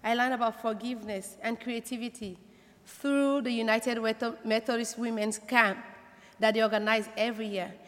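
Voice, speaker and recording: A woman's voice: fairly high pitch, steady tone, moderate pace, a bit bright. A woman giving a personal testimony to a congregation. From Africa. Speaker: church member introducing speakers. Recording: echoey hall with long reverb.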